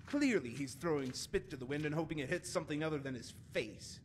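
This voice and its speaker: A man's voice: fairly high pitch, a little fast, lightly acted. Man acting a haughty, pompous male bard, noisy recording. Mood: scornful. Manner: pompous, florid, scornful. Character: haughty, prickly, wounded-pride male poet and bard. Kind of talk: scripted fantasy game dialogue.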